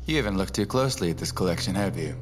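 An actor playing a shrewd antiques appraiser in an audio drama, dry, professional and deliberate. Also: male voice, deep voice, expressive, long reverb tail, background noise. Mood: dry, frank. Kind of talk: dramatic audio fiction acting.

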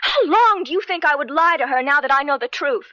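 An indignant, protective figure in a radio drama. The voice is high and piercingly bright. The sound is dry, on a slightly muffled vintage recording. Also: female voice, animated, brisk, no echo. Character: indignant, protective woman leaving for home. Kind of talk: 1970s radio drama acting.

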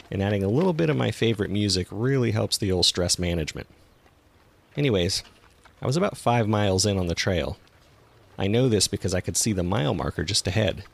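A man narrates as a podcast host, working from a script. His voice sits low, with plenty of gravelly fry at the bottom. The delivery is that of a storyteller reading prepared copy.

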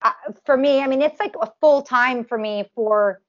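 Woman, nasal, slightly high voice, chatty and rambling, muffled lo-fi sound. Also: moderate pace. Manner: talks in long runs with many restarts and fillers. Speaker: tribute performer guest. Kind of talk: casual interview chat.

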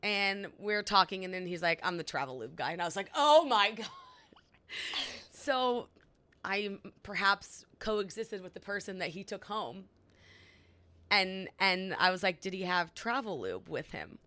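Woman, 30s, podcast co-host, bright voice, chatty storyteller. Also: medium pitch, expressive, unhurried. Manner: chatty storyteller, lots of fillers. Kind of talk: casual chat.

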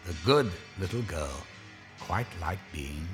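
A man playing a wry horror storyteller, narrating theatrically with savouring, deliberate pauses. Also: low voice, lively intonation. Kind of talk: scripted dramatic story narration. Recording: music under the narration.

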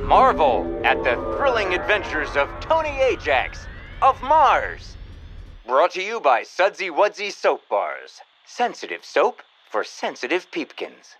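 A bombastic vintage radio announcer, high and wildly animated. The delivery is theatrical and drawn out over music. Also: male voice, unhurried, heavily acted, poor audio. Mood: thrilled. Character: bombastic old-time radio serial announcer. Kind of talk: retro radio promo.

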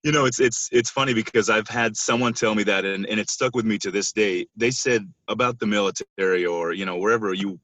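A man's voice: lowish voice, brisk, hardly any pauses, a bit bright, dry recording. A man in his thirties from Israel, giving conversational interview answers as a podcast guest over a band-limited call. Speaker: podcast guest, basketball coach.

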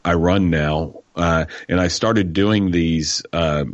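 Deep-voiced man with a Texas twang. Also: slow, no echo, highs cut off. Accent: Texas-tinged American. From New York. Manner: folksy storyteller, acts out quoted voices. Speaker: guest author. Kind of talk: radio interview.

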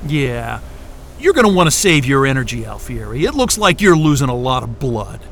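A man's voice: medium pitch, very animated, moderate pace, creaky, a bit bright, slight background noise. A man playing a scrappy, irreverent fugitive hero in an audio drama, speaking casually and expressively. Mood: wry. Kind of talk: scripted audio drama acting.

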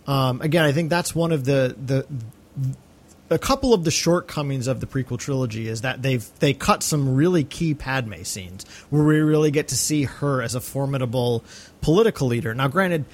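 A man's voice: medium pitch, lively intonation, normal speed. A man co-hosting a podcast, talking casually through a film discussion. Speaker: podcast co-host.

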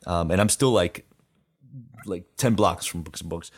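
An American man hosting a relaxed, conversational interview, talking in loose, informal phrases. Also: deep voice, moderate pace, slightly rough. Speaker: interview host.

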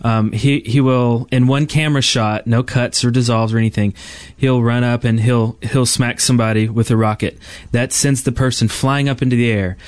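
Male gaming podcaster, mid pitch, detailed casual explainer, dry room-free sound. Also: steady tone, normal speed, hardly any pauses, dry recording. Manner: explains in detail, piling up clauses. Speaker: podcast co-host.

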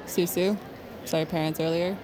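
Woman playing a deadpan, low-voiced teenage girl. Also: normal speed, natural voice, low-quality recording. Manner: deadpan, biting. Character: aloof, sardonic teenage girl working a shop counter. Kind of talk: naturalistic audio drama acting.

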